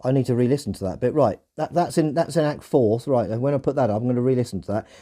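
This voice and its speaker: A man's voice: medium pitch, quick pace, dark tone. A man hosting a podcast in casual discussion, reflective and often correcting himself as he goes. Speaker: podcast host.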